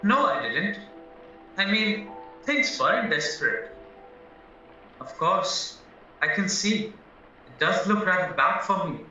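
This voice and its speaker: A man acts out a young murder suspect in an audio drama, frank but flustered, hesitating and protesting earnestly. His high voice swings animatedly. It sounds distant and very echoey, with music behind. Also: lively intonation, slow, pauses often, pushed and bright, muffled recording, heavily acted. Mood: frank, uneasy. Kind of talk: stage-style courtroom audio drama acting.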